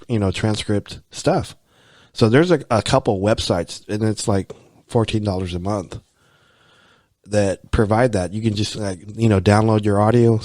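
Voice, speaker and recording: A low-voiced American man hosts a podcast in a loose, rambling way. He restarts his sentences and leans on "you know" a lot. The recording is dry and close. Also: a little mellow, dry recording. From United States. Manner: rambling, repeats words, many "you know"s. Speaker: podcast host. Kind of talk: casual conversation.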